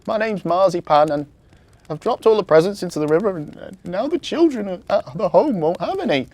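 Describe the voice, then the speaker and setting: A woman's voice: extremely high pitch, very animated, moderate pace, dark tone, pitched above the performer's own voice. A woman voicing a clumsy, tearful young girl elf in a children's Christmas story, with dramatic wailing exclamations.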